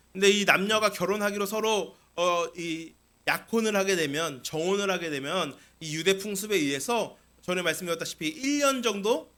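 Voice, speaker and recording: A man's voice: high-pitched, bright, forceful tone. A man preaching a sermon as a pastor, explaining scripture with rhetorical questions and repeated key phrases.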